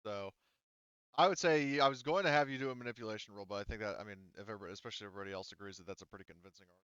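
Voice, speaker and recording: A man runs a tabletop game as its game master, narrating off the cuff. His voice is clear and slightly low, with a conversational feel. The recording is dry and a touch dull. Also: racing, fairly bright tone, no echo. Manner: casual, conversational.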